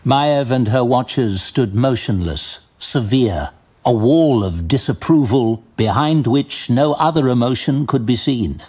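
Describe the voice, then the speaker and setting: A man narrating an audiobook in a measured, even storytelling manner. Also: mid-range voice, slightly rough.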